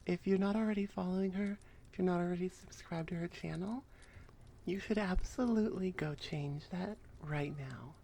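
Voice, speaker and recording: Woman streamer type saying thanks to viewers, casual n gushing, bit gravelly, low voice. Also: normal speed, warm tone, slight background noise. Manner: effusive, enthusiastic. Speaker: ASMR creator. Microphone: close microphone on an arm.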